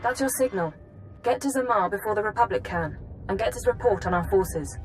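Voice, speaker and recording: A woman playing a stern, brilliant intelligence chief in a video game, giving briefings in a precise, measured tone. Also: mid-range voice, background noise, natural voice. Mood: grave, focused. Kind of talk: video game cutscene acting. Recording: music behind the voice.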